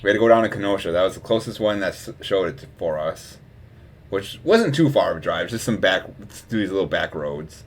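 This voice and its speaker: A young man from Wisconsin talks. He streams live and rambles casually between asides. He laughs often, and his volume swings between loud bursts and quiet mumbling. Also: low voice, animated, fairly quick. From Wisconsin, USA.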